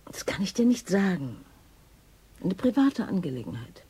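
Lively, hesitant police inspector. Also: female voice, mid-range voice, moderate pace, breathy edge. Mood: distracted, wry. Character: distracted, lovestruck female police inspector, wry and hesitant. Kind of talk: naturalistic radio drama dialogue.

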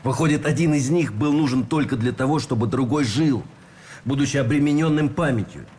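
An actor playing an earnest Russian army officer in a radio play, philosophizing and arguing through rhetorical questions. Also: male voice, medium pitch, a little fast, a little mellow, slight background noise, close to an everyday voice. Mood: earnest, persuasive.

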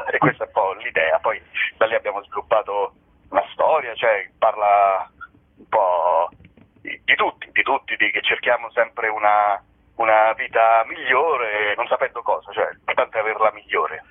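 A man's voice: lowish voice, moderate pace. Male comedian, rambling and wry, promo chat over a thin telephone connection. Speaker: theatre comedian and writer presenting a show. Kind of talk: casual promotional interview. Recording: narrow-band telephone line, radio interview.

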